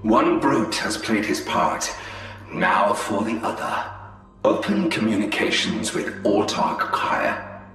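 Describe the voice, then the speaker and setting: An actor playing a sly, treacherous alien elf spymaster in a video game, speaking in a measured, silky, mocking voice. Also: male voice, on the low side, big pitch swings, fairly bright tone, heavily acted. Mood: scheming, grave. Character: sly, treacherous, smooth-tongued male Eldar spymaster. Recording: cavernous echo, long reverb, music behind.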